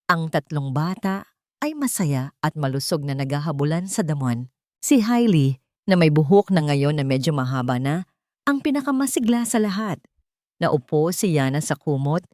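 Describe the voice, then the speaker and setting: Female storyteller, low register, dry close sound, measured dramatic phrasing for scripted fiction. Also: warm tone, dry recording. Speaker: narrator.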